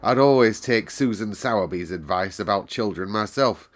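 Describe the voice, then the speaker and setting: A man reading aloud and voicing a practical middle-aged housekeeper, talking in a brisk, emphatic way. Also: lowish voice, natural voice. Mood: pleased, emphatic.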